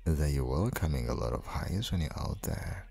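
A man playing an affectionate, playfully teasing young dad and husband in an intimate ASMR audio roleplay, speaking softly and often dropping into whispers. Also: expressive, exaggerated voice. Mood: gentle teasing. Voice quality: very deep, husky, gravelly, breathy. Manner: soft, unhurried, often slipping into whispers.

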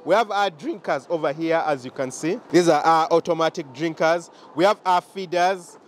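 A man's voice: a little high, normal speed. Ugandan young man touring his farm. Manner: explanatory, repeats points, addresses viewers directly. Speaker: farm owner giving a tour. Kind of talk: walking farm tour vlog. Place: outdoors at a poultry farm and inside a chicken house. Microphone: handheld camera close to the face.

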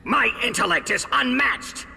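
An actor voicing a pompous, ranting mutant supervillain scientist with a giant head in a video game. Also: male voice, very high voice, lively intonation, unhurried, gravelly, breathy edge, heavily acted. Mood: outraged. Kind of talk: video game villain voice acting. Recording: loud music under the voice.

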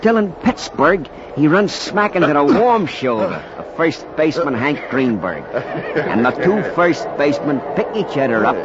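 An actor playing a folksy storyteller narrating a baseball ballad, rhythmically, in a 1940s radio play. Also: male voice, on the high side, very animated, normal speed. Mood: jaunty, suspenseful. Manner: folksy, rhythmic, dropped endings. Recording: muffled, hissy 1940s radio recording.

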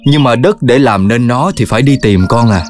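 A man voicing a wise, patient father dog in a children's cartoon, explaining things in an expressive, fatherly way. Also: mid-range voice, normal speed, lightly acted. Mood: fatherly, instructive. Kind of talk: animated children's cartoon dubbing. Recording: loud music behind.

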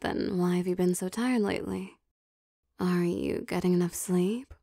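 Woman playing a soft, creaky-voiced vampire girlfriend. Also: fairly low pitch, no echo, studio quality. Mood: tender, concerned. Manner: breathy coaxing, playful, hesitant pauses. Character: affectionate, possessive, teasing young vampire girlfriend. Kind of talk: ASMR girlfriend roleplay, intimate and soft.

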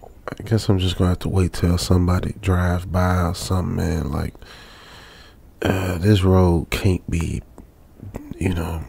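A man's voice: deep voice, flat delivery, measured pace, creaky, long pauses, darkish tone, slightly rough, full character voice. An American man playing a stranded young trucker in a radio drama, uneasily muttering to himself in colloquial, repetitive phrases. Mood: resigned. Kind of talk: old-school radio drama monologue, improvised feel.

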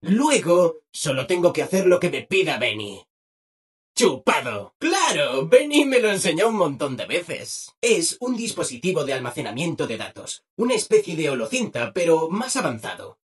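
A man's voice: a little high, sing-song, moderate pace, breathy edge, heavily acted. A man with a Castilian Spanish accent voicing a relentlessly cheerful, eager-to-please robot assistant in a video game, with bubbly, exaggerated enthusiasm. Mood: eager explaining. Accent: Castilian Spanish, from Spain. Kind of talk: dubbed video game dialogue.

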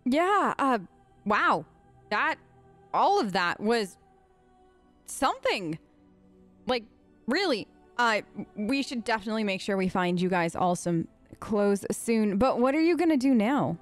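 A woman's voice: a little high, big pitch swings, measured pace, pauses often, faint music behind. A woman doing casual, improvised role-play game commentary as a let's play host, chatty, earnest and rambling.

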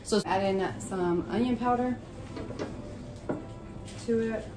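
A woman's voice: medium pitch, takes it slowly. A woman, chatting informally and folksily through a home cook-along. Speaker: home cooking vlogger. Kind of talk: casual cook-along narration. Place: home kitchen, indoors. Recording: clear sizzling and kitchen noise. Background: frying sizzle, kitchen noise.

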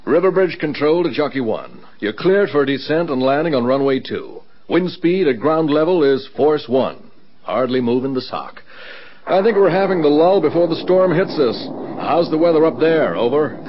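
An actor playing an excitable air traffic controller with brisk radio procedure in a vintage radio drama. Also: male voice, fairly high pitch. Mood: easygoing. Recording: muffled, noisy old radio broadcast.

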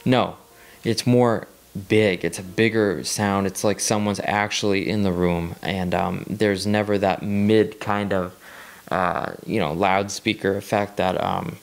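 A man's voice: low voice, fairly even intonation, measured pace. A young man seated in a small living room, reviewing audio gear in a casual talking-head video, rambling with frequent ums and repeated words while gesturing with his hands.

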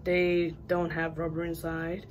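A young woman with a raspy voice, just up from sleep, chatting casually through a hair product review in a small bathroom. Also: on the low side, completely flat delivery, unhurried, lo-fi sound, a little hiss or hum. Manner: chatty, rambling with fillers. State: just woke up. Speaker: beauty vlogger. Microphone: phone camera at arm's length.

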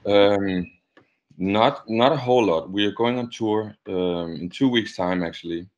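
A man's voice: lowish voice, unhurried. A young man with a Danish accent, chatting casually as a musician being interviewed. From Denmark. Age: young adult. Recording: dull, lo-fi video call.

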